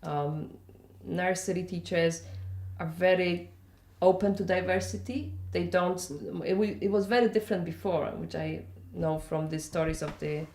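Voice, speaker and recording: A Polish-accented woman with a fairly low voice gives casual interview answers. She rambles conversationally and often checks in with the listener. The room around her is noticeably echoey. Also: fairly even intonation, normal speed, few pauses, darkish tone. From Poland. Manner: conversational, rambling, checks in with "you know" and "yeah?". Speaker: interviewee, festival organiser.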